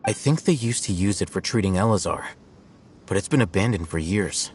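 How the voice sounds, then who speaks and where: A man voicing a composed male scholar ally in a video game, calm, measured and matter-of-fact. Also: on the low side, husky. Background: game background music.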